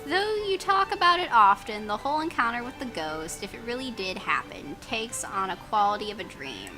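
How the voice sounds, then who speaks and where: Woman, lively swooping intonation, playful read-aloud, casual exclamatory asides, clear background music. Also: on the high side, normal speed, low-quality recording. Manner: expressive, exclamatory. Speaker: let's play host reading story text. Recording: music behind the voice.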